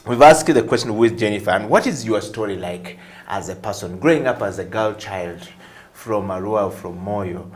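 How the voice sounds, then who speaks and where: A male interviewer with a lowish voice hosts a talk show. He speaks conversationally, setting out his questions with deliberate, emphatic phrasing.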